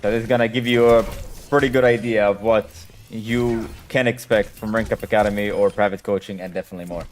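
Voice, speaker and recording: A man's voice: on the low side, fairly even intonation, fairly quick, a little hiss or hum. A man, a native Hebrew speaker, chatting casually as a game coach on a live stream and answering questions in a direct way.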